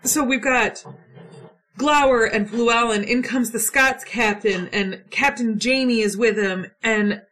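A woman's voice: a little high, measured pace, fairly bright tone, poor audio. A young adult woman co-hosting a casual chat, retelling a play in an irreverent, swearing, playful way. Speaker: podcast co-host.

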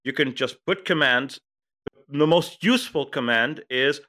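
A man with a Lebanese accent co-hosting a casual tech podcast, talking in halting bursts with many fillers and restarts. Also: medium pitch, pushed and bright. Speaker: podcast co-host.

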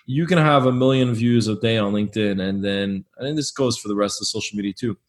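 A man being interviewed as a business owner, talking casually in a loud, emphatic way that often repeats words. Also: on the low side, a little fast.

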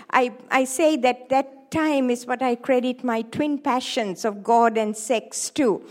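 High-voiced Sri Lankan woman in her seventies. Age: 70s. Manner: joking, lively, playful asides to the audience. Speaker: seminar speaker, sex therapist. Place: hall with a live audience.

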